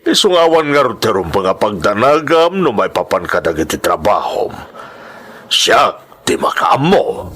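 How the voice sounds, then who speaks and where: A man playing a protective, authoritative older father and employer in an audio drama, firm and husky as he gives instructions. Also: fairly low pitch, big pitch swings, a little slow, fairly bright tone, full character voice. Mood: firm, concerned. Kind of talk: Ilocano audio drama acting.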